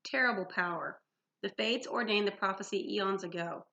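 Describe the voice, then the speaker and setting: A woman's voice: mid-range voice, moderate pace, creaky, sharp tone. Woman as a solemn immortal huntress. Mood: grave. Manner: archaic, formal, solemn. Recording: dull lo-fi sound.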